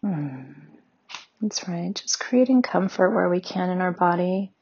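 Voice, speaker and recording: A woman leading a guided meditation with slow, soothing, gentle instructions. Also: on the low side, slightly rough, dull audio.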